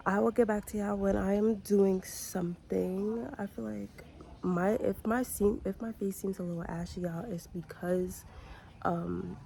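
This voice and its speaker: Raspy-voiced young woman vlogging while sick. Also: medium pitch, mellow, slight background noise, rough recording. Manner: chatty, blunt, slangy, many fillers. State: sick with a cold, runny nose. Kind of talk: casual vlog chat. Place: outdoors on a hot morning with a view.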